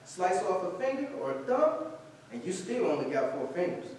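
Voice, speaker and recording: Brash young skeptic acted by a man for a 1940s stage play, high voice, challenging, very roomy noisy pickup. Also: very animated, a little fast, a little mellow. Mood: sarcastic, scornful. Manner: curt, sarcastic, challenging. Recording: very echoey room, distant mic, faint noise.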